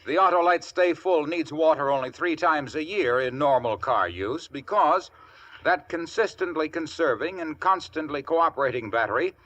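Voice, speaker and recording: An American man working as a commercial announcer, giving a polished, emphatic old-time radio sales pitch. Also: expressive, normal speed, fairly bright tone. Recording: muffled old radio recording.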